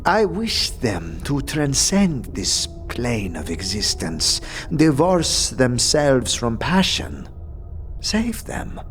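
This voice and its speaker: An actor playing a pale, devout death-cult missionary with a measured, formal and quietly eerie manner in a fantasy audio drama. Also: male voice, mid-range voice, wildly swooping pitch, soft background music, heavily acted.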